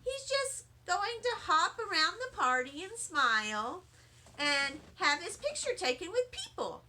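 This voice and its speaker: A woman's voice: extremely high pitch, very animated, measured pace, sharp tone, a little hiss or hum, pitched above the performer's own voice, heavily acted. A woman voicing a bossy, fussy first-grade girl in a storybook, huffy and self-important. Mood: explaining.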